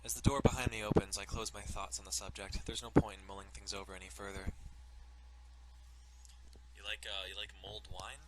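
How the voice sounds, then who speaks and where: A man's voice: on the low side, level tone, brisk, long pauses, noisy background. A man narrating a visual novel in a casual, informal way, breaking off into joking, sweary asides. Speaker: let's player reading a visual novel aloud. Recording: loud music under the voice. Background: music.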